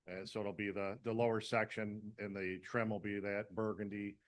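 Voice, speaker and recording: A man's voice: on the low side, flat delivery, moderate pace. A man presenting his fire department's building plans to a town planning board, talking casually with many fillers and restarts. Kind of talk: casual presentation at a public meeting.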